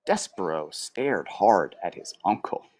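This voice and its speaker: A woman reading a children's book aloud as storyteller, with dramatic, deliberate phrasing. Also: extremely low pitch, very animated, a little mellow, breathy edge, no room sound.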